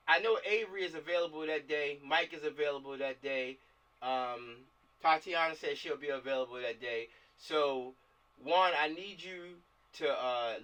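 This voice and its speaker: A man's voice: a little high, bright, forceful tone. A man leading a table read over a video call, chatting casually, repeating himself and checking in often. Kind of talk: casual group discussion. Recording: distant mic on a video call.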